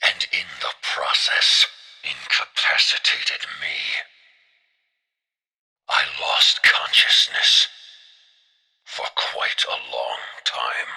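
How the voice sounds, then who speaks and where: An actor playing a grim, vengeful warrior in a game cutscene, with a rough, breathy growl and solemn, weighty delivery. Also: male voice, a bass voice, extremely slow, long pauses, pushed and bright, exaggerated voice. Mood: grave, bitter. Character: grim vengeful warrior, survivor of a destroyed planet. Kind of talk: dramatic scripted game cutscene acting.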